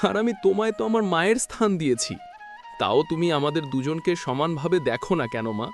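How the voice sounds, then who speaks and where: A man's voice: fairly high pitch, sing-song, fast, soft background music. A man voicing a mistreated poor cowherd boy in a cartoon story, pleading in a hurt, plaintive way.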